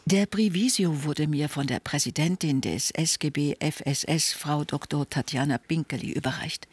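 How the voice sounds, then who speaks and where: A man reading a calm, measured first-person voice-over that translates signed speech in an interview. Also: a little high, breathy edge.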